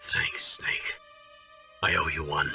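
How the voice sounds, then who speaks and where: An actor playing a loyal, earnest older colonel in a video game, sincere and measured. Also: male voice, extremely low pitch, wildly swooping pitch, heavily acted. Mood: grateful, sincere. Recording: distant voice under loud music.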